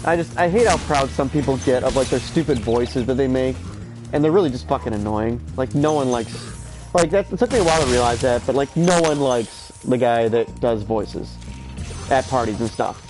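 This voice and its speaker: A man's voice: medium pitch, moderate pace, poor audio. A stuffy, sleep-deprived man in his thirties rambling and swearing as he plays a game. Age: 30s. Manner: rambling, profane, opinionated. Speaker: streamer. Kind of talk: casual gameplay chatter. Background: game music.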